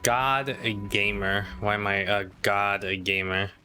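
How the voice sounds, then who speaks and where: Low, bright-voiced man streaming a game. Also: measured pace, faint music behind. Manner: low-key, thinking aloud. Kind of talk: casual live gameplay commentary.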